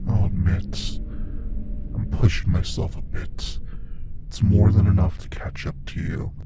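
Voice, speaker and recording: A man voicing a playful, sadistic monster who taunts his prey in an ASMR roleplay, often slipping into breathy whispers. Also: deep voice, measured pace, very noisy. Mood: gloating.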